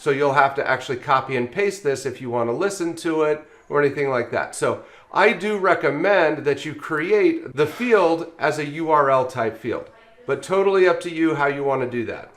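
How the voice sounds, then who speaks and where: A man with a slightly high-pitched voice presents a software training screencast. He explains each step in order, in the instructional style of a tutorial narrator. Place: voice-over on a screen recording.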